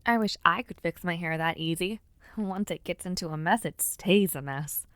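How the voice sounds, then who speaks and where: A woman's voice: fairly low pitch, moderate pace, fairly bright tone, dry recording, lightly acted. A young woman voicing a spirited, playful noblewoman knight in an audio roleplay, chatty and teasing. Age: young adult.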